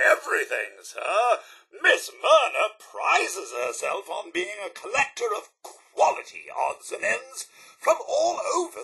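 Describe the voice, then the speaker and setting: A man's voice: high-pitched, gravelly, bright, forceful tone, breathy edge, full character voice, rough recording. A man voicing a fussy, devoted robot butler in a video game, speaking with a British accent in a prim, formal, theatrical way. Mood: distraught, near hysterical, then eager salesmanship.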